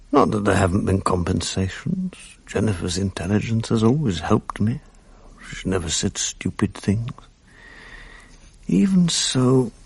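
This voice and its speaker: A man's voice: on the low side, gravelly, a little mellow, breathy edge, dry recording, heavily acted. An actor playing a world-weary, irritable, sardonic British man with a dry, literate manner in a radio drama. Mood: wry, weary. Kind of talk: radio drama acting, intimate first-person narration. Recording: faint background noise, archive radio broadcast.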